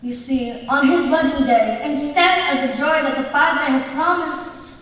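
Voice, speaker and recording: Eerie theatrical narrator, played by a woman, dramatic and slow, very echoey hall with music behind. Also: high-pitched, far from the mic. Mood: dramatic, ominous. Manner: deliberate, dramatic, addresses the audience. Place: indoor theatre stage.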